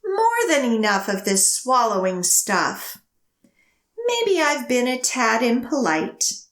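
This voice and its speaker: A woman's voice: on the high side, wildly swooping pitch, slow, a bit bright, full character voice. Storybook dragon played by a woman, male, overfull, exasperated yet mannerly, swooping delivery, roomy sound. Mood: fed up.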